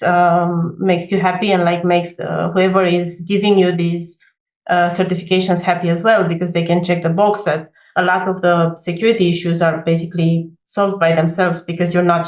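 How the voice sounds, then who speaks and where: A woman's voice: fairly low pitch, a little mellow. Woman, from Romania, engineer on a video call; hesitant, filler-heavy delivery in a roomy space. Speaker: backend engineer. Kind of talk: casual video call discussion.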